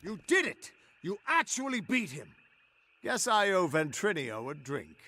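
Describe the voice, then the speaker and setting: Eager, lively-voiced army officer. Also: male voice, sits high, unhurried, heavily acted. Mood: amazed. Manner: plain-spoken, eager. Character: enthusiastic, friendly male army officer ally. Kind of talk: fantasy video game acting.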